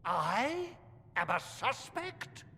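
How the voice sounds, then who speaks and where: An actor playing a pompous, accusing chancellor of a church in a fantasy game, speaking with open disdain. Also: male voice, high voice, big pitch swings, very slow, pushed and bright, slight background noise, heavily acted. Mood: indignant. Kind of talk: dramatic game cutscene acting. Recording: long hall reverb.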